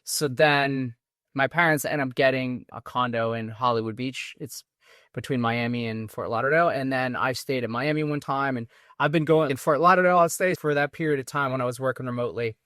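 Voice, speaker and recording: A man's voice: mid-range voice, brisk, pushed and bright, no echo, clean studio sound. A man in his forties with a Massachusetts accent, a podcast guest chatting casually and rambling through his stories with many restarts. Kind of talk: casual interview talk.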